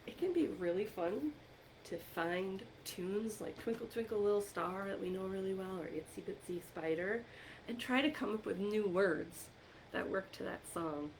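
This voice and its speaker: A woman leading an interactive storytime for young children as a librarian, encouraging them with questions and pauses for answers, recorded on a computer microphone. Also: medium pitch, a little hiss or hum, low-quality recording. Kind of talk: interactive read-aloud and singing for young children.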